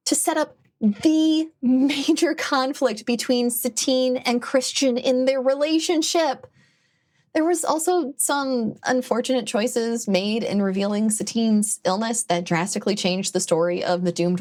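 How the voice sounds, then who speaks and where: A woman giving opinionated commentary in a conversational video essay style, with animated phrasing. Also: medium pitch.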